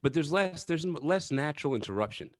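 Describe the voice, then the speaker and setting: A man's voice: on the high side, animated, fairly quick, no room sound, studio quality. A man co-hosting a podcast, joking around and laughing a lot in casual chat. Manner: jokey, laughs often. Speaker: podcast co-host.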